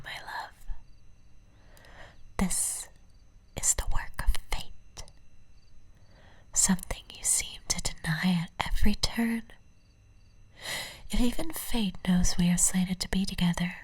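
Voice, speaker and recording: A possessive, lovesick stalker played by a woman murmurs in a heavily breathy whisper for an ASMR roleplay. She speaks slowly and deliberately, coaxing and intimate, with poetic devotion in her phrasing. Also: fairly low pitch, no room sound. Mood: adoring, insistent.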